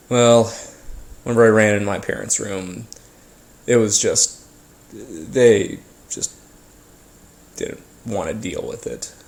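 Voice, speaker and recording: A man telling a first-person story casually, as if being interviewed, in a halting, hesitant way with pauses and repeats. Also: on the low side, vocal fry, exaggerated voice. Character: ordinary man recounting childhood brushes with a haunting.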